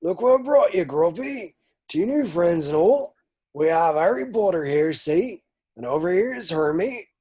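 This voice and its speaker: A man playing a gruff, soft-hearted half-giant gamekeeper in a script read, folksy and protective. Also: high-pitched, animated, normal speed. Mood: fond, coaxing. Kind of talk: table read of a film script.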